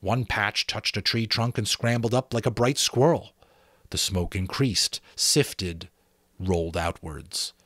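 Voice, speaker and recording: A man's voice: lowish voice, slow, a bit bright, no echo, clean studio sound. A man reading a novel aloud as a dramatic amateur audiobook narrator.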